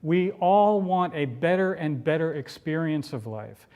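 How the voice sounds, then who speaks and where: A man speaks in a somewhat high voice as a public speaker. His delivery is earnest and emphatic, leaning on repetition to drive home each point in a motivational address. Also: a little slow, a little mellow. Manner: earnest, emphatic, repeats phrases for rhetorical effect. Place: room, speaking to a campus audience.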